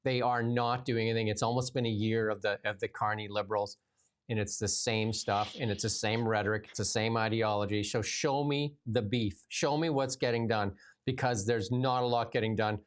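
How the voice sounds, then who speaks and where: A Canadian man co-hosting a casual political talk show, exasperated and sarcastic, his voice jumping higher when he gets riled. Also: fairly even intonation, moderate pace. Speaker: co-host.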